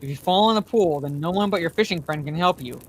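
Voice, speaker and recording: A woman voicing a gruff male ranger who breathes a quiet warning. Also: lowish voice, fast talker, a little hiss or hum, natural voice. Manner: breathy, whispered.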